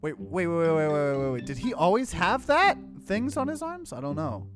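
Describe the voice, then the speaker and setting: A man's voice: on the high side, big pitch swings, normal speed, over music. A man hosting a let's play, casually commenting and reading game text aloud with expression.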